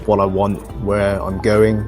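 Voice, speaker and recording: A man's voice: on the low side, monotone, unhurried, darkish tone, faint music behind, natural voice. An actor playing an eager, chatty man on a date in a dating game, talking in a casual, slightly hesitant way. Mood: earnest, flirting. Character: eager, chatty male dater making small talk on a date.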